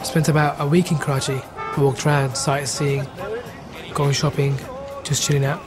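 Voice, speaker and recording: A man's voice: on the high side, little pitch movement, moderate pace, music in the background. A man, giving an informal account as a documentary interviewee. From English Midlands. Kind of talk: informal first-person recollection.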